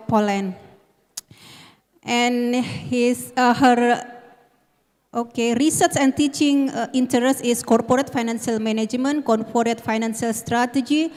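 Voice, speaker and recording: A woman moderating a conference session, polite and halting with fillers. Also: on the high side, a little mellow. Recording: reverberant conference hall.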